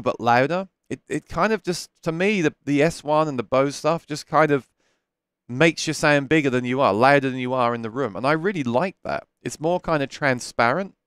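A man's voice: on the high side, a bit bright, smooth, no echo. A man in his thirties hosting a casual live stream from a small home studio, talking candidly through a headset mic and answering viewer questions with frequent restarts. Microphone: headset microphone.

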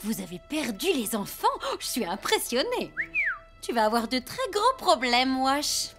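A woman voicing a sharp-tongued, sarcastic little female bird in a children's cartoon, quick to scold. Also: high voice, big pitch swings, loud music behind the voice, full character voice. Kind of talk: cartoon voice acting.

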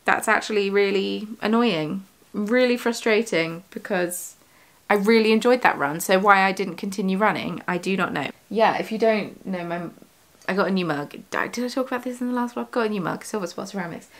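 A woman's voice: medium pitch, fairly quick. A young woman running a yarn shop, chatting casually in a home studio vlog, rambling with lots of fillers and self-corrections, recorded in her living room by a close desk camera. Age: young adult. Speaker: yarn shop owner and vlogger. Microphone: camera on desk at close range.

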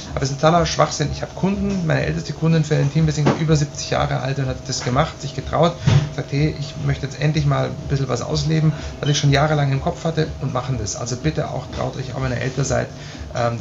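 A man's voice: mid-range voice, creaky. A man in his forties with an Austrian accent, explaining piercing aftercare to the camera in a chatty, reassuring way, with many fillers, in a small tiled studio room. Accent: Austrian German. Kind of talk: casual explainer tutorial. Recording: dull sound with loud background hiss.

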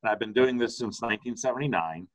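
A man's voice: lowish voice, fast. An older man from the United States, a consultant, speaking casually over a video call from his home study, rambling with frequent restarts. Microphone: video call webcam.

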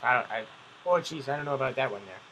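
A young man joking casually as co-host of a gaming video, excitable and exclamatory, often playfully scolding. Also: medium pitch, quick pace, vocal fry, background noise. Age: young adult.

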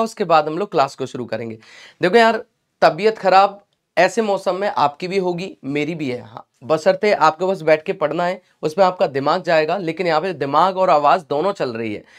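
A young man with a sore throat teaching a live online class, chatty and encouraging, mixing English phrases into his talk. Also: high-pitched. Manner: chatty and encouraging, mixes English phrases, addresses students as beta. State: sore throat, clearing it often. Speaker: online exam-prep teacher.

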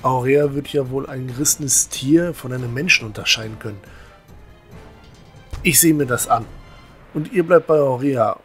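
Young earnest novice guy, man acting, fantasy audio play, stammery n agitated, mid pitch. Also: normal speed, long pauses, a bit bright, faint music behind, close to an everyday voice. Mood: troubled, firm. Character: earnest, stocky young male religious novice. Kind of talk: fan-made fantasy audio drama acting.

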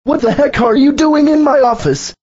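A man playing a short-tempered coffee shop manager who barks orders and yells at his staff. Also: extremely high pitch, normal speed, dull audio. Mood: stern. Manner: yelling, bossy.

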